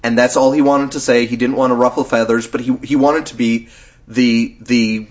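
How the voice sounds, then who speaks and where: A man's voice: medium pitch, fairly even intonation, moderate pace, a little mellow. A man hosting a podcast in casual chat, explaining things in a talkative way with frequent chuckles. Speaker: podcast host. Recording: dull lo-fi podcast recording.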